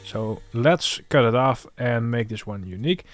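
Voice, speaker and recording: A man hosting a music production tutorial, thinking aloud in an informal, halting way. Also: medium pitch.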